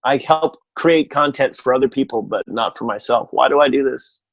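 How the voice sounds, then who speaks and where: A man chatting casually as a podcast guest and telling anecdotes in a halting, wry way, often correcting himself. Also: medium pitch, vocal fry, highs cut off, a crowd in the background. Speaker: podcast guest, audio theatre producer.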